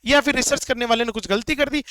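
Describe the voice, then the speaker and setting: A man with a very high, smooth, resonant voice explains exam questions one by one. He repeats himself and poses rhetorical questions as he teaches. The recording is dry and close. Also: fast, bright, forceful tone, no echo.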